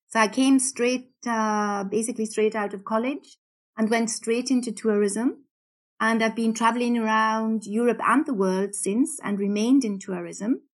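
A woman in her fifties from England with a softened Geordie accent, a cruise director chatting as a podcast guest. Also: on the high side. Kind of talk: podcast interview.

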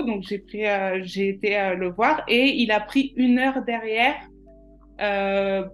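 A woman with a somewhat high voice tells her story in a relaxed interview. She hesitates and restarts often. Faint music plays softly behind her. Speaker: nutritionist guest. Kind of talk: casual interview answers.

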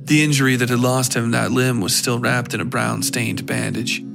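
A man giving a scripted narration of a dark horror tale for a fiction podcast. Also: mid-range voice, steady tone, moderate pace. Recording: clear music behind the voice.

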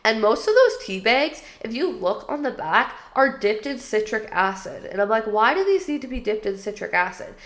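A woman talks through her solo podcast in a casual, friendly way, with many "like" fillers. Her nose is a little stuffy. Her pitch sits in the middle for a woman. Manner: chatty and upbeat, with many "like" fillers. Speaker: podcast host.

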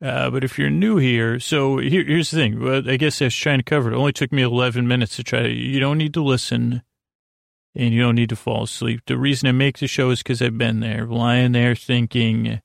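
Creaky-voiced man rambling through a bedtime podcast. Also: medium pitch, fairly even intonation, no room sound, clean studio sound. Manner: meandering, halting, stuttering over words, self-deprecating; mildly silly, playful wordplay. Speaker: podcast host. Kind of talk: rambling bedtime monologue, loose storytelling.

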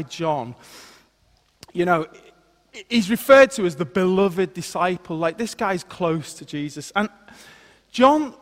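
A man, once a vicar, delivers a sermon with a lively, self-deprecating story. His voice sits high for a man. A long reverb trails every phrase, as in a large hall. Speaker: former vicar giving a talk. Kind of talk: sermon with a personal anecdote.

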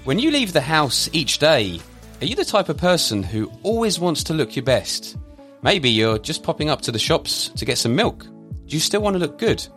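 A man hosting a podcast interview, upbeat and lively as he asks questions. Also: a little high, moderate pace, faint music behind. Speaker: podcast host.

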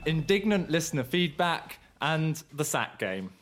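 A man's voice: on the high side, very animated, measured pace, fairly bright tone, soft background music. A man co-hosting a podcast in casual chat, speaking with a cold and sore throat, teasing dryly and chuckling often. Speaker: podcast co-host.

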